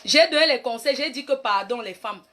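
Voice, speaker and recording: A woman's voice: fairly high pitch, expressive, a little fast, pushed and bright, faint music behind. A woman playing a brash, boastful self-styled queen mother in a rambling comic monologue. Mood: preachy. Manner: bossy, rambling, mock-grand, trash-talking. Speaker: comic online personality. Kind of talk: comic live-stream monologue.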